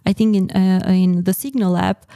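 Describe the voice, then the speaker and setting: Mellow-voiced woman explaining, with hesitant pauses. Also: medium pitch, steady tone, vocal fry, clean tone, no room sound, studio quality. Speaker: guest researcher in secure messaging. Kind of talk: explanatory podcast interview.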